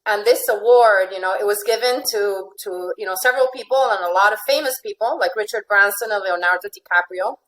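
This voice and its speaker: A woman's voice: mid-range voice, brisk, few pauses, fairly bright tone, rough recording. Female interview guest, American accent, life coach, chatty and conversational, repeats phrases. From born in Finland, grew up in Venezuela. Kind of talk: casual conversational interview answers.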